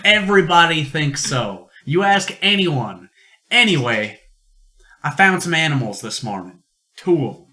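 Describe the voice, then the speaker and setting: A man acts out an eager young farm boy, high and bright in tone. He is conspiratorial and boastful, with folksy talk and a pitch that leaps about in an amateur radio play. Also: big pitch swings, unhurried, heavily acted.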